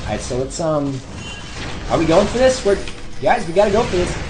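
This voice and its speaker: A young man giving excited live gameplay commentary, shouting during fights and talking himself through moves, his voice going hoarse. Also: a little high, fairly quick, music in the background, rough recording. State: losing his voice.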